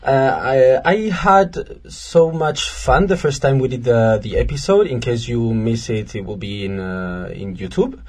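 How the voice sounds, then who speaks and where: A man's voice: mid-range voice, big pitch swings, a little mellow. Young guy from spain on a radio chat, lots of uhs and restarts, normal mid voice. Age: young adult. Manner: halting, many fillers and restarts. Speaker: volunteer guest.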